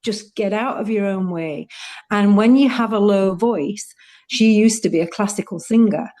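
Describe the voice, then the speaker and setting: A woman's voice: medium pitch, moderate pace, mellow. A middle-aged woman, a student, speaking heartfelt and rambling on a video call. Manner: heartfelt, rambling, laughs easily. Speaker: student and participant. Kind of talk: casual testimonial.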